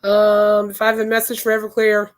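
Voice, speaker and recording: Hoarse chatty woman podcast host. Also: medium pitch, monotone, fairly quick. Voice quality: raspy, worn throat. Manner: chatty, enthusiastic storytelling. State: hoarse, voice shot from illness. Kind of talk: casual rambling chat.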